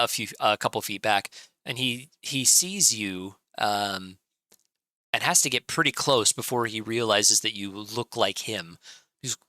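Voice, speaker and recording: A man's voice: on the low side, pushed and bright, dry recording. A man narrating as game master in a tabletop game, loose and hesitant with lots of fillers.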